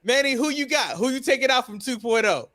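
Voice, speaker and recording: A man's voice: extremely high pitch, lively intonation, brisk, pushed and bright. An American man hosting a casual podcast chat, opinionated and emphatic, repeating phrases to drive his point home. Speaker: wrestling podcast host.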